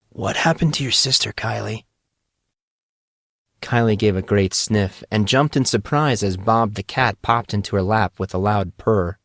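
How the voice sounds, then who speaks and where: A man reading a fantasy audio drama aloud in steady, even narration. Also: on the low side, no echo, studio quality.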